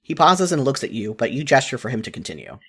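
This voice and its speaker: A man narrating a scripted story in the second person with an expressive storytelling delivery. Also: mid-range voice, quick pace, slightly rough.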